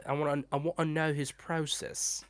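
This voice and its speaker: A man's voice: a little high, flat delivery, moderate pace, smooth, dry recording, studio quality. A young man talking casually as an interview guest, agreeable, slangy and enthusiastic. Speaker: guest on an interview, horror series creator. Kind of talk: casual chat.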